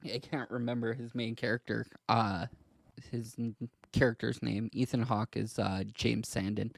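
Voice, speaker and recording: A man co-hosting a podcast in casual discussion, speaking hesitantly in halting, trailing clauses. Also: medium pitch, flat delivery, creaky, no echo, studio quality. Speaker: podcast co-host.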